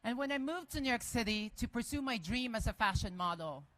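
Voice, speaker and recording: A woman from the Philippines with a Filipino accent, giving a lively, personal speech at an outdoor march. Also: on the high side, brisk, no room sound. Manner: enthusiastic, personal. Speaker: guest speaker at a march. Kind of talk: rally speech. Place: outdoor rally.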